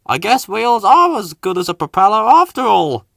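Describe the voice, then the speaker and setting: A man's voice: high voice, sing-song, normal speed, vocal fry, pushed and bright, no room sound, studio quality, voice pushed up, exaggerated voice. A man voicing a proud, smug male helicopter in a children's storybook, talking in a boastful way. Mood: conceding.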